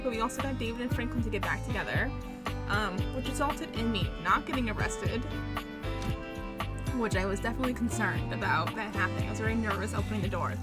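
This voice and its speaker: A young woman, her voice a little hoarse and sitting slightly high, chats excitedly through the end of a game. She thinks aloud, jumping from topic to topic. Loud game music plays behind her. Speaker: let's play host. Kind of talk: casual gaming commentary.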